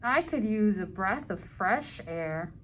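A woman reading a picture book's speech bubbles aloud in an expressive way. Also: medium pitch, slow, a little hiss or hum, low-quality recording.

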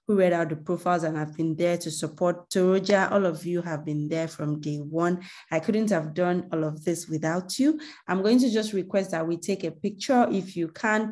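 A woman hosting an online webinar over a video call. Her voice is mellow and slightly low, and her manner is grateful, chatty and coaxing. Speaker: webinar host. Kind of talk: closing remarks to a webinar.

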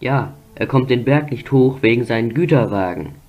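A man's voice: medium pitch, lively intonation, normal speed, lo-fi sound, soft background music, natural voice. A man playing a stern railway director with a clipped, authoritative manner in a cartoon fan dub. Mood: commanding.

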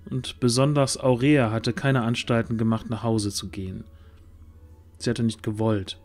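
Male storyteller over soft music. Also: mid-range voice. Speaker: narrator. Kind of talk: scripted storytelling narration.

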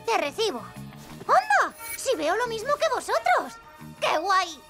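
A woman's voice: very high-pitched, wildly swooping pitch, measured pace, over music, heavily acted. A woman voicing an anxious, sweet little boy superhero in a cartoon dub, earnest and childlike, with a Castilian Spanish accent. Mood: excited.

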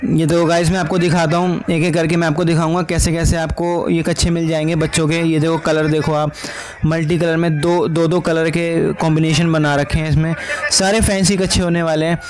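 A man's voice: fairly high pitch, little pitch movement, a little fast, few pauses, a crowd in the background. A man in his twenties narrating a casual vlog tour as a voice-over, addressing viewers as friends. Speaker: vlogger. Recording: background music under the voice. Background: music.

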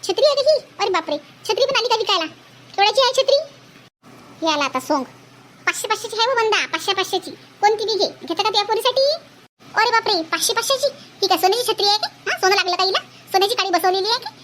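A woman doing shrill cartoon village women, Varhadi accent, very high-pitched, rapid, scolding. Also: expressive, a bit bright, a little hiss or hum. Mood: scornful. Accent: Varhadi Marathi. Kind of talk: cartoon voice acting.

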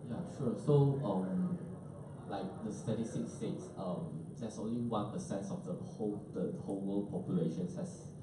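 Echoey, low-voiced man on a panel. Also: normal speed. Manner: colloquial, repeats "you know". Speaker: startup panelist. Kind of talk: informal panel talk. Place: large event room with dark wall, indoors. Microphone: handheld mic into PA, picked up by far camera. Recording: very echoey room, distant mic, loud noise.

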